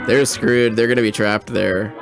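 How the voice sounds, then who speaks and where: A man's voice: on the low side, fairly even intonation, normal speed, creaky. A man hosting a podcast, giving casual off-the-cuff commentary as he reacts to what he hears. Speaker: podcast host reacting to radio plays. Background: old radio play and music playing.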